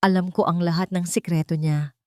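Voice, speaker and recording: Female narrator doing solemn grieving young woman in an audiobook, polite, holding it in, lowish voice, dry sound. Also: fairly even intonation, fairly quick, a little mellow, no room sound, close to an everyday voice. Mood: resolute grief. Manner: polite, solemn, with restrained emotion. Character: grieving young woman seeking justice for her father.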